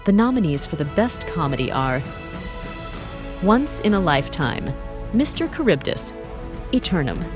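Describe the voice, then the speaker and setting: An announcer reading out lists of award nominees slowly. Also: medium pitch, sing-song. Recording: music underneath.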